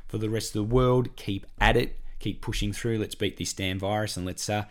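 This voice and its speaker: Husky, croaky Australian man, low-voiced. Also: steady tone, moderate pace. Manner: encouraging, repetitive, chatty. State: hoarse, croaky from a cough. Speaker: weight-loss coach and podcast host. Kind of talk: solo podcast monologue.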